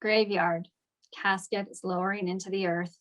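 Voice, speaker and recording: Female narrator for a script read, medium pitch, delivering scene directions. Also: steady tone, moderate pace. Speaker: stage direction reader at a table read.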